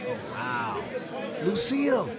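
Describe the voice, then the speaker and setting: A man's voice: sits high, huge pitch swings, takes it slowly, exaggerated voice. A man playing a cocky, boisterous rock club regular in an audio drama, egging others on. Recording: noisy club crowd, large room. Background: loud crowd.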